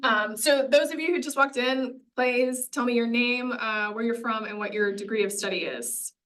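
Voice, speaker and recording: A woman leading a classroom seminar, upbeat and encouraging, with quick asides. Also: fairly high pitch, a bit bright, distant mic. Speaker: seminar leader.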